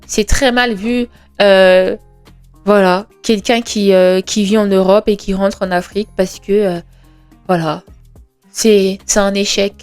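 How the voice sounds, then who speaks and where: A young woman in her twenties talks through her thoughts, earnest and conversational, pausing on fillers as she goes. Her voice sits at an ordinary middle pitch, and she leans on rhetorical questions and reassurance. Soft music plays faintly underneath. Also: steady tone, smooth. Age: 20s. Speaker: podcast host. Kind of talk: reflective monologue. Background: faint background music.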